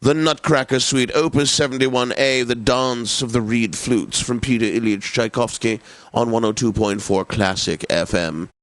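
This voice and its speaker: An actor playing a pompous, genteel classical radio DJ in a video game, announcing in a precise and theatrically obliging manner. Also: male voice, medium pitch, steady tone, moderate pace, no room sound, lightly acted. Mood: stately, smooth announcing. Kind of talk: scripted radio continuity announcing.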